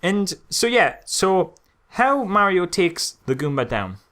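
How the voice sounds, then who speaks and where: Animated, high-pitched British man. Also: slow pace. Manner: animated, exasperated, repeats phrases for emphasis. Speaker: film podcast co-host. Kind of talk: casual comedic film critique chat.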